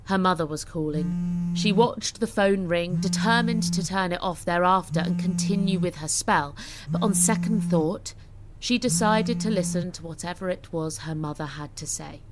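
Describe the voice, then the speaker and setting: A woman's voice: on the low side, moderate pace, low-quality recording. A woman giving scripted, dramatic story narration as an audiobook narrator. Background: music.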